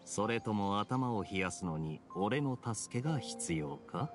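An actor playing a cool, wry young knight captain in an anime game, smooth and quietly threatening. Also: male voice, on the low side, lively intonation, unhurried. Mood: coolly warning. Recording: game music behind.

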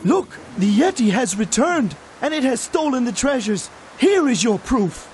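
High-pitched, fretful village man. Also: big pitch swings, a little slow, darkish tone, full character voice. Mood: alarmed. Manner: dramatic, alarmed. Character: anxious, gloomy, fatalistic village man. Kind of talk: children's educational game acting.

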